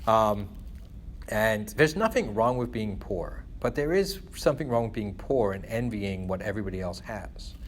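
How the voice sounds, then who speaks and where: A man's voice: on the low side, animated, moderate pace, a little hiss or hum. A middle-aged man from England talking casually in a rambling way, leaning often on "you know" and "like". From born in England to Guyanese parents of Indian descent. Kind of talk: casual conversational venting.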